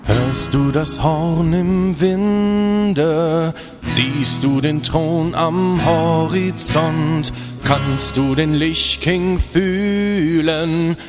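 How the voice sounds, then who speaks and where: A man playing a balladeer who sings an earnest, rousing parody theme song about fantasy heroes. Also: a little high. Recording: loud country-style music under the voice.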